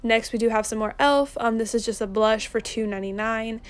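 A young woman narrating a casual shopping haul, chatty and relaxed, with lots of ums and likes. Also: a little high, brisk, clear, resonant tone. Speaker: vlogger.

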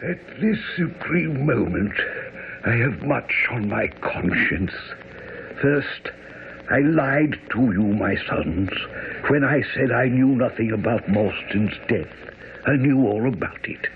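An actor playing a dying, guilt-ridden old army major in a radio drama, weak and short of breath. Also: male voice, mid-range voice, lively intonation, slow pace, gravelly. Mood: weak, confessing. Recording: narrow, noisy old radio broadcast.

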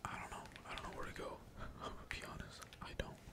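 A gamer speaks entirely in a breathy whisper, giving casual ASMR commentary on their play. The talk is slangy and peppered with swearing, while computer keys clack behind it. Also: fast talker. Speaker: gaming video creator. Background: computer keyboard typing.

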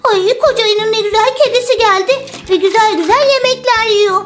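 A woman's voice: very high-pitched, moderate pace, soft background music. A woman voicing an eager, whiny little girl who pleads and complains in a children's toy story. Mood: whiny, impatient.

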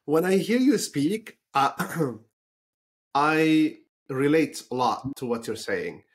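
A man's voice: on the high side, lively intonation, takes it slowly, slightly rough. Lebanese-born man hosting a podcast. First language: not English. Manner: thoughtful, with fillers and restarts. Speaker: podcast host. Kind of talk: conversational interview.

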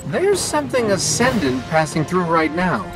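Animated little robot sidekick. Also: male voice, high voice, loud music behind the voice. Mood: concerned. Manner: wry, brisk. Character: small chatty robot companion, quippy and nervous. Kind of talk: video game dialogue.

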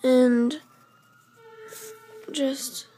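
Slightly hoarse young woman explaining a drawing. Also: sits high, steady tone, very slow, dark tone. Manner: chatty, loose, with fillers and self-corrections. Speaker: drawing tutorial host. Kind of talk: casual step-by-step drawing tutorial. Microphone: camera over the drawing paper, speaker off frame.

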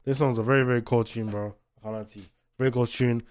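A man's voice: medium pitch, fairly even intonation, fast, a little mellow, clear, resonant tone. A young British man giving casual, slangy reaction commentary as a host, emphatic and often repeating himself. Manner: repetitive, emphatic, informal.

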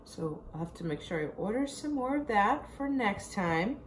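A woman in her forties talks through her day in a relaxed, rambling video diary, her voice slightly hoarse from a sore throat. She speaks to a camera at arm's length in her home kitchen. There is faint background noise. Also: medium pitch, normal speed. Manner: chatty, rambling, self-deprecating. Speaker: diet vlogger.

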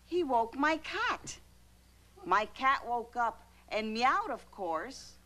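An actress playing a gentle, encouraging mother, speaking expressively to young children in a children's show. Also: a little high, very animated, slow, very noisy. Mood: animated storytelling. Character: gentle, encouraging mother reading a bedtime poem. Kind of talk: children's show acting and animated read-aloud.

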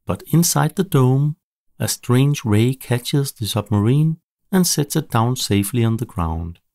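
A man narrating a children's bedtime story in a measured, animated storytelling delivery. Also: medium pitch, no room sound, studio quality.

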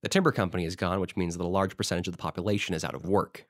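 A man's voice: deep voice, steady tone, racing, no room sound, studio quality, lightly acted. A man playing an eager small-town historical society president in an audio drama, telling stories in an informal, rambling way. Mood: eager storytelling. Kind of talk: conversational audio drama monologue.

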